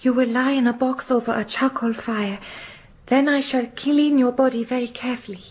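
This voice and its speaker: High-voiced polite bath attendant, played by an actress. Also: female voice, fairly even intonation, normal speed, a little mellow, lightly acted. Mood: polite, matter-of-fact. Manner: courteous, methodical, formal. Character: polite, matter-of-fact young Japanese female bath attendant. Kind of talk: radio drama acting.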